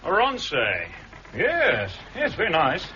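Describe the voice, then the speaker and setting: An actor playing Bill, a polite but guarded nautical engineer, in a 1960s radio drama. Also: male voice, fairly high pitch, huge pitch swings, slow, bright, forceful tone, heavily acted. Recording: dull lo-fi archive radio recording, faint hiss.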